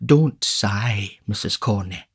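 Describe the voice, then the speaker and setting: A man's voice: lowish voice, takes it slowly, slightly rough. A man voicing a pompous, self-important parish beadle in a storybook, stately, grave and solicitous. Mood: soothing, courting.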